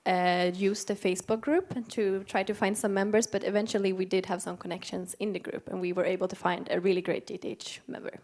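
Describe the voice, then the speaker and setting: A woman's voice: mid-range voice, fairly even intonation, a little fast, hardly any pauses, darkish tone. A young woman student answering interview questions on an auditorium stage, earnest and reflective. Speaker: student contestant. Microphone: handheld mic.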